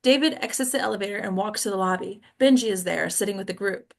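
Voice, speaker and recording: A woman's voice: mid-range voice, fast talker, gravelly. A woman narrating stage directions at a table read in a plain, informal way.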